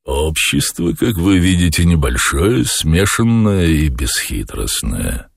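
A man voicing a weary, commanding lord of darkness in an audiobook, brooding, slow and imperious with quiet menace. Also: a bass voice, big pitch swings, vocal fry, dry recording, studio quality, full character voice. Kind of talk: audiobook character voice.

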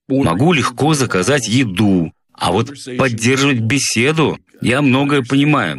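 Man voicing an outspoken expat, low and lively. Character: outspoken American male expatriate blogger in Russia.

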